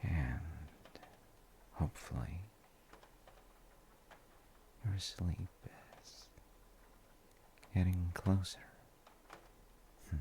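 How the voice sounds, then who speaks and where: A man playing a gentle, reflective dad in an intimate audio roleplay, musing softly and reassuringly to a tired child with thoughtful pauses. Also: slight background noise, exaggerated voice. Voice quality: gravelly, creaky low voice. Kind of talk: soft intimate sleep-aid audio roleplay.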